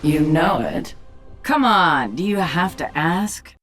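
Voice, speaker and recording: A woman's voice: deep voice, expressive, takes it slowly, fairly bright tone, faint music behind, exaggerated voice. A woman voicing a cocky, sardonic assassin and alien queen in a video game, dry and taunting. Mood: playful.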